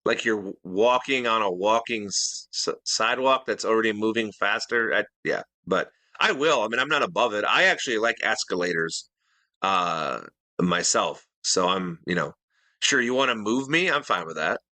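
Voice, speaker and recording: A man co-hosts a casual podcast, easygoing and a little rambling as he tosses out questions. His lowish voice has a bright, forceful edge. The sound is close and completely dry. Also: expressive, normal speed, no echo. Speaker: podcast co-host. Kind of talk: casual chat.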